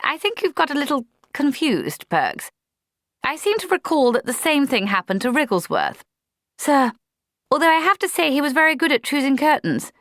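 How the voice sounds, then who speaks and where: A woman's voice: high voice, very animated, normal speed, a bit bright, no room sound, clean studio sound, voice pushed up, full character voice. A woman doing a flustered young lieutenant. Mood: fretful. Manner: fussy, polite, nervous. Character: flustered young male army lieutenant, gentle.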